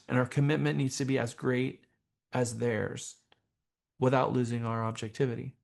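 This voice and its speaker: A man teaching a professional class as a trainer, speaking in a deliberate, emphatic way with rhetorical questions. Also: medium pitch, gravelly, darkish tone. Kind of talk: motivational training seminar talk.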